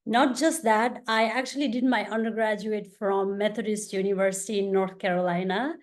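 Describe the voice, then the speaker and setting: A woman from Nepal moderating an online panel, presenting in a measured, explanatory way over a video call. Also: mid-range voice, fairly even intonation.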